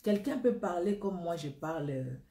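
A woman's voice: fairly low pitch, lively intonation, measured pace, mellow, echoey room. A middle-aged woman with a Congolese accent, preaching a sermon and leading a spoken prayer in an earnest, repetitive way. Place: indoor room against a plain wall.

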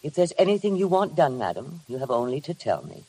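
An actress playing a cold, formal middle-aged housekeeper in a 1950s radio drama, measured and stiffly deferential. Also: female voice, deep voice, sing-song, dark tone, slightly rough, full character voice, low-quality recording. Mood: formal, cool.

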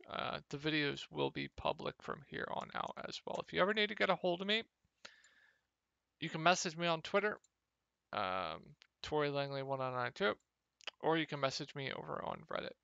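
A young man with a slightly hoarse, gravelly voice, casually talking through fantasy football picks over a screen share, with many ums. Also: fairly high pitch, normal speed, no echo, highs cut off. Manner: relaxed, lots of ums. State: a little hoarse. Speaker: fantasy sports analyst.

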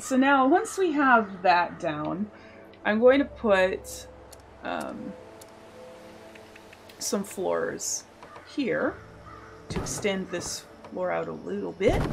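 A slightly hoarse woman at a mid-range pitch talks a viewer through a build, her voice catching a gravelly fry at phrase ends. She explains step by step in a friendly, casual way, now and then clearing her throat. A faint hiss sits behind the voice-over. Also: very animated, slow, long pauses. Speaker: game channel host. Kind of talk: casual tutorial narration over gameplay.

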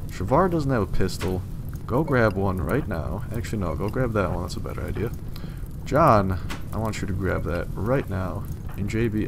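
Young male let's-player, a bit hoarse, lowish voice, rambly and casual, noisy recording. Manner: loose, rambling, swears casually. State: slightly hoarse. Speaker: let's play gamer. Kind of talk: casual gameplay commentary.

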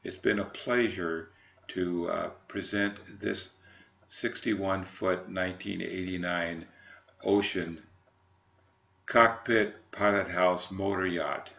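An American man, middle-aged or older, giving an unscripted sales walkthrough in a halting, measured, formal manner. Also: deep voice, steady tone, vocal fry, sharp tone, dull audio. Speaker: yacht broker presenting a boat.

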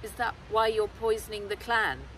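A woman's voice: mid-range voice, lively intonation, moderate pace, fairly bright tone, close to an everyday voice. Young storybook heroine, frightened and imploring, voiced by a woman, recorded outside, a little distant. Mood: accusing. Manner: earnest, pleading. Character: frightened, pleading young orphan girl captive. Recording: outdoors, distant mic, clear background noise.

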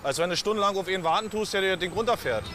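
A middle-aged man, a truck driver, talking in a brash, joking, hurried way while working outdoors at a port cargo yard. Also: sits high, noisy background. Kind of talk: casual talk at work.

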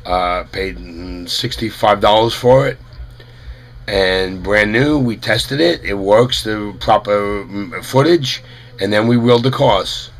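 A middle-aged man with a head cold and a fading voice, talking earnestly to a webcam in a small room, halting, with many fillers. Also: fairly low pitch. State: head cold, losing his voice. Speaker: parent of a runner. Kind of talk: casual to-camera statement.

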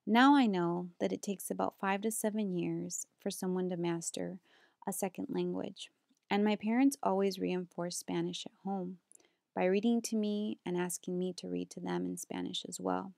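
A young woman from the United States, a graduate student reading a prepared slideshow presentation in a steady, earnest way. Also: on the low side, normal speed, gravelly, no room sound, studio quality.

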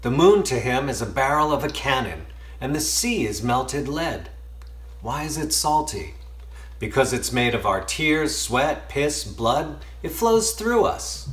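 Man, fifties, poetry recitation, lively swooping pitch, emphatic pauses, echoey small room. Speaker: poetry reader. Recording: roomy indoor echo.

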